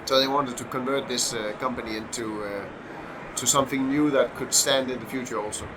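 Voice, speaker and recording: A middle-aged man from Denmark with a Danish accent, a podcast guest who talks in a halting way with many fillers and restarts. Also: medium pitch, fairly even intonation. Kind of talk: casual podcast interview. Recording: noisy background hum.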